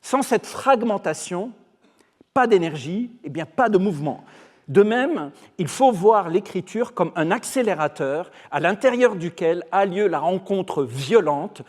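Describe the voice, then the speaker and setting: A man with Arabic as his first language gives a formal lecture in a measured, emphatic way, slowing down on key phrases. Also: sits high, lively intonation. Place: large lecture hall. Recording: long hall reverb.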